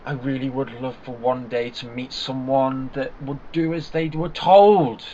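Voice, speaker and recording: A man's voice: medium pitch, fairly even intonation, a little slow, mellow, close to an everyday voice. A man voicing a brusque, impatient time-traveling alien. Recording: dull lo-fi recording.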